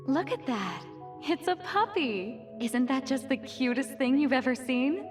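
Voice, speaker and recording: Eerily sweet cooing lady in a game, really slow, highish voice, music behind. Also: expressive. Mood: gushing. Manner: syrupy, drawn-out cooing. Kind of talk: English video game dub acting.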